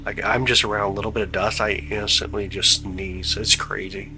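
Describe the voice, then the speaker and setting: A stuffed-up man talking easily and loosely, with a gravelly edge to his voice. His tone is bright and forceful, and faint music plays behind him. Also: on the low side, little pitch movement, normal speed, rough recording. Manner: laid-back, slangy, chatty. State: stuffed up, congested. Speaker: livestream host of telescope views. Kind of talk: casual chat with live chat viewers.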